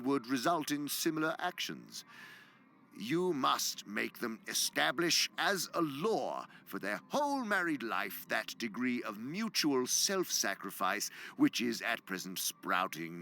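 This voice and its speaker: A man's voice: fairly low pitch, vocal fry, pushed and bright, a little hiss or hum, heavily acted. A man playing a sly, gloating senior demon who lectures pompously for a radio drama, savoring his words and sometimes dropping to a whisper. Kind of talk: theatrical radio drama monologue.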